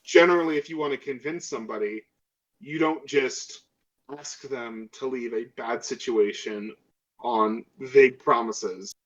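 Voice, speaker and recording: A man's voice: medium pitch, unhurried, a bit bright, lightly acted. A man playing a skeptical, self-interested crew member in a tabletop role-playing game, arguing emphatically on a dull microphone. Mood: argumentative.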